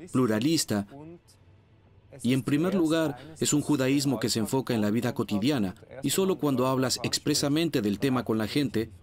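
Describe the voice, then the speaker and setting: A man's voice: medium pitch, a little mellow, close to an everyday voice. A man voicing a journalist's travel reflections for a documentary dub, considered and articulate.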